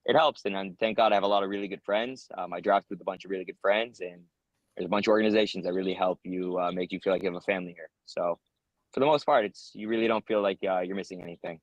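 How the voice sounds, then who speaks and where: A man's voice: low voice, quick pace, no echo, muffled recording. A young American man answering interview questions in an earnest, rambling way, with many ums and uhs. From United States. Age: young adult. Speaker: interviewee, soldier.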